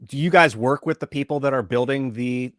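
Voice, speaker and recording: Halting male podcast host, very dry sound. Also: medium pitch, no echo. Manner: halting, searching for words, many fillers. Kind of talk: casual interview questions. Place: private closed room.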